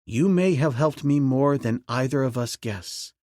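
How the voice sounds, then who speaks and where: A man voicing a calm, grave jungle hero in a storybook reading, measured and steady. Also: medium pitch, no echo, clean studio sound, lightly acted.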